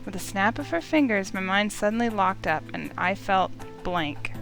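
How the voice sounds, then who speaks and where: Female narrator for visual novel: expressive first-person storytelling, mid-range voice, faint music. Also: mid-range voice, moderate pace, gravelly, low-quality recording.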